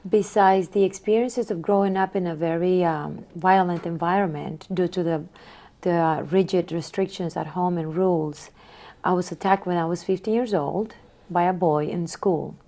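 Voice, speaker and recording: Interview guest, woman in her forties from Honduras, lowish voice, reflective and soft-spoken. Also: moderate pace. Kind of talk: interview answers.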